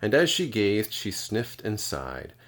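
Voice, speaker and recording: Deep-voiced man narrating a children's storybook. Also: huge pitch swings, slow, fairly bright tone. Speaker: kindergarten teacher reading aloud.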